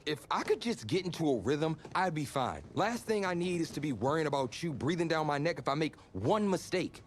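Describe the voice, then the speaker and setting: A man's voice: a little high, animated, a little fast, slight background noise, close to an everyday voice. A man playing a proud, defensive young college quarterback in a naturalistic video game cutscene. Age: young adult. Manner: defensive, argumentative.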